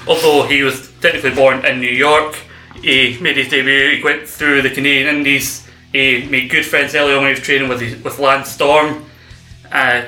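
Man, podcast host, jokey, teasing, very bright voice, echoey room. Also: medium pitch. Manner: jokey, teasing introductions, often laughing. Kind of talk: comedic wrestling podcast hosting.

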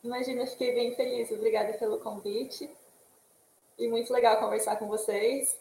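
A woman's voice: fairly high pitch, fairly even intonation, moderate pace, creaky, mellow, reverberant, slight background noise, poor audio. A woman from Brazil, a guest in a casual interview on a video call, speaking in an easygoing way and laughing often between sentences.

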